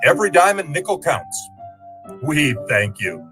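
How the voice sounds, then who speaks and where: A man's voice: a little high, very animated, unhurried, fairly bright tone, faint music behind. A man reading as a scripted announcer in the style of old-time radio ads and sign-offs.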